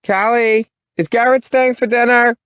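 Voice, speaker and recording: A middle-aged mother with a raspy, piercing voice hollers from down the hall, as a man puts her on. She is pitched far above a man's usual register and pushes every call out at full volume. It sits as a storybook side character in an audiobook. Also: steady tone, moderate pace, studio quality. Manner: shouting from another room. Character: loud shrill middle-aged mother yelling through walls.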